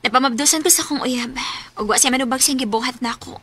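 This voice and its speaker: A woman's voice: high voice, quick pace, pushed and bright, husky, full character voice. A woman with a Cebuano Filipino accent playing a forthright young single mother in her early twenties in a radio drama. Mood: earnest. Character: forthright 21-year-old single mother eager for love.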